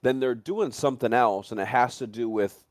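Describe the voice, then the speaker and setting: A man's voice: mid-range voice, moderate pace, darkish tone, dry recording, studio quality. A man chatting casually and speculating in a rambling, repetitive way, with some swearing. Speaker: livestream talk participant. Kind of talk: casual chat, animated storytelling and speculation.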